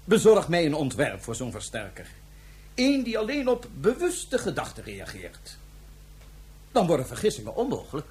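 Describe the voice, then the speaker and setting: An imperious, power-hungry villain in a science-fiction radio play. His husky voice sits fairly high and swings widely as he issues emphatic, theatrical demands. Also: wildly swooping pitch, normal speed, darkish tone, heavily acted. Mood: imperious, demanding. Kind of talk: Dutch science-fiction radio drama acting.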